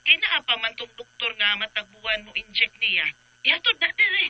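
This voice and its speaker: A woman's voice: a little high, very animated, moderate pace, dull audio. A woman acting a concerned, exasperated mother in a radio drama, insistent and full of exclamations. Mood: urgent, insistent. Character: concerned, exasperated mother urging treatment for family.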